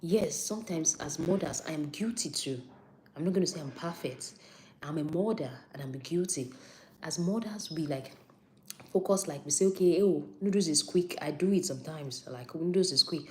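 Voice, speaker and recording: A woman's voice: low-pitched, normal speed, slight background noise. A young Nigerian woman with a Nigerian accent giving a casual live-stream health talk, repeating points for emphasis and answering viewer comments.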